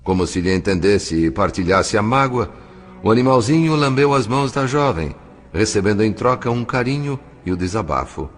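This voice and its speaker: A man's voice: on the low side, animated, normal speed. A man with a Brazilian accent reading scripted dramatic narration as the narrator of a story. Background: background music.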